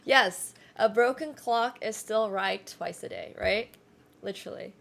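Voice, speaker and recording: A woman's voice: medium pitch, measured pace, creaky, a bit bright. A young woman whose first language is Chinese, chatting casually on a livestream, rambling with lots of fillers and self-corrections. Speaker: streamer.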